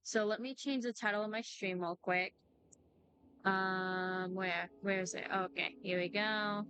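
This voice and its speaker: A young woman streamer chats casually in a bright, sharp voice at an average pitch. She is informal and talkative, with faint game music behind her. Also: fairly even intonation, a little slow. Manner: informal, chatty. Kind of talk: casual livestream chat.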